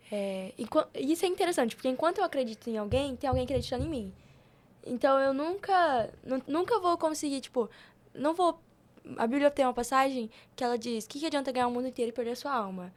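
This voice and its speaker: High-pitched young Brazilian woman, chatting informally. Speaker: podcast guest. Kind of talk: casual podcast conversation, personal storytelling.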